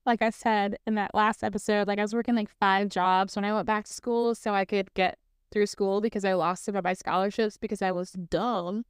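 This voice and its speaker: A woman's voice: medium pitch, fairly even intonation, fairly quick, clear, resonant tone, no echo, clean studio sound. A woman around thirty hosting her own podcast, chatting casually and telling stories with lots of "like" and "um" and easy laughs. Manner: chatty, fills speech with "like" and "um"; laughs easily while talking. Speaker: podcast host. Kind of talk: casual solo storytelling.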